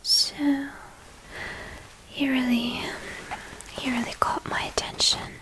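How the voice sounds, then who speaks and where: A woman playing a shy, apologetic but hungry young vampire girl in an ASMR roleplay, speaking in a hesitant, intimate, breathy whisper. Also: on the high side, little pitch movement, takes it slowly, rough recording. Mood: shy confiding.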